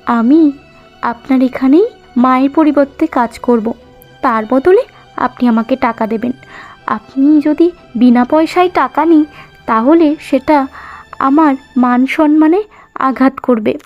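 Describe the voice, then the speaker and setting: A woman's voice: high voice, a little slow, long pauses. A woman playing a dutiful, principled young woman, a servant's daughter, speaking earnestly and respectfully in a cartoon. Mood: respectfully firm. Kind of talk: cartoon moral-story voice acting. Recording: muffled lo-fi audio with music bed.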